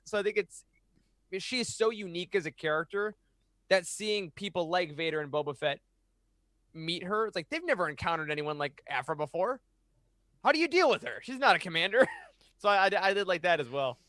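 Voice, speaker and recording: A man's voice: high voice, very animated, a little fast, fairly bright tone, no room sound. A man in his thirties chatting casually on a panel in a playful, rambling way. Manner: playful, rambling, acts out little quips. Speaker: podcast panelist. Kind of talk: casual roundtable chat. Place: home room on a group video call. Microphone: close podcast mic.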